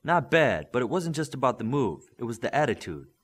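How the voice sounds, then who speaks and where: A man's voice: mid-range voice, sing-song, a little fast, breathy edge. A man voicing a firm, encouraging male superhero coach and mentor in an audiobook. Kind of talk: audiobook character acting.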